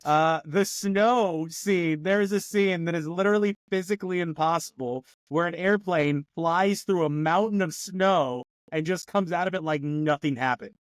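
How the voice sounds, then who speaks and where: A man's voice: on the high side, moderate pace, hardly any pauses, no room sound, studio quality. Rambling man on a movie podcast. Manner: rambling, filler-heavy, laughs often. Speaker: podcast co-host. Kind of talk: casual movie review chat.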